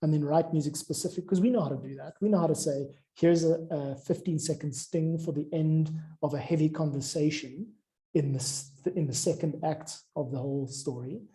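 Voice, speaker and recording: A man's voice: on the high side, brisk, dark tone, poor audio. A man in an informal, discursive chat, explaining his ideas as he goes. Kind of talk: informal chat about craft.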